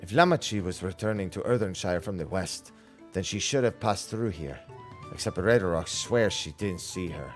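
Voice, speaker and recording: A man reading as an earnest, low-voiced fantasy adventurer. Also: moderate pace, faint music behind, natural voice. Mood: grave, resolute. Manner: formal, archaic phrasing. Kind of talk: reading game dialogue aloud.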